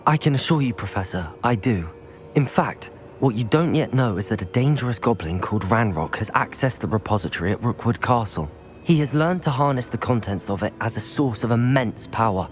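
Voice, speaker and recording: Man as earnest young wizard, lively intonation. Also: mid-range voice, brisk, soft background music, close to an everyday voice. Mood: urgent, earnest. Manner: polite, articulate, eager. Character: earnest, confident young student wizard hero. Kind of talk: dramatic game cutscene acting.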